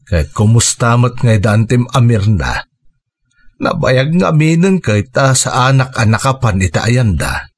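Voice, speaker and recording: A husky, patient Ilocano father. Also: medium pitch, very animated, measured pace. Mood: concerned, fatherly. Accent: Filipino, Ilocano. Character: gentle, patient middle-aged father, self-effacing and forgiving. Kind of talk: Ilocano radio drama acting.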